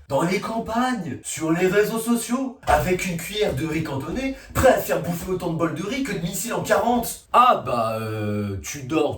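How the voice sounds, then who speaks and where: A young man giving a loud, sarcastic comic monologue full of slang and fillers. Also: high voice. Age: young adult. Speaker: video creator. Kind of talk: comedic monologue vlog. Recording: roomy echo, a little far from the mic.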